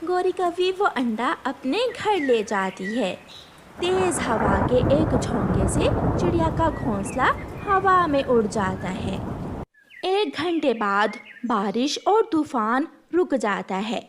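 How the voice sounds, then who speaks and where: Children's story narrator: woman, fairly high, very animated delivery. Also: takes it slowly, heavy background noise.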